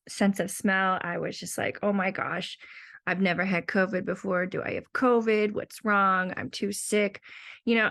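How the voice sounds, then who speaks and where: A woman's voice: lowish voice, vocal fry, a bit bright. A young woman and teacher, sick with a sore throat, talking casually and rambling through her week in a personal monologue. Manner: rambling, self-talking asides.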